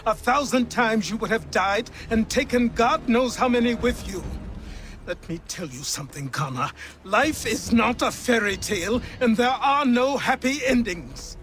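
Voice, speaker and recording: Scolding, high-voiced embittered old mentor. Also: male voice, fairly bright tone. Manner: stern, lecturing. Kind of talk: dramatic video game acting.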